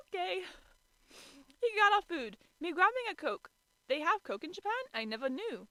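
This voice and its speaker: A woman's voice: very high-pitched, very animated, unhurried, pauses often, pushed and bright, no echo. A woman doing an amateur dramatic reading on a stream, stumbling over words and theatrically exasperated. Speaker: streamer reading fan fiction aloud.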